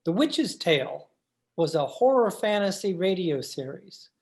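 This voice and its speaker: Woman radio announcer reading a script, lowish voice, old time radio intro style. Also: very animated, measured pace, a little mellow, soft background music.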